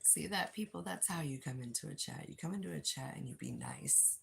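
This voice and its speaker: An older woman with a low, husky voice chatting casually with viewers on a phone livestream. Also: brisk. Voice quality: low, gravelly voice. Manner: chatty, reads and answers chat aloud. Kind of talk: casual live chat with viewers. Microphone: phone without external mic.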